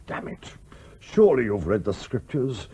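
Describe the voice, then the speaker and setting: An actor in a radio play voicing the blustering, bossy ghost of a sea captain, with old-fashioned oaths and an exasperated, commanding manner. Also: male voice, lowish voice, very animated, moderate pace, warm tone, slight background noise. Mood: indignant.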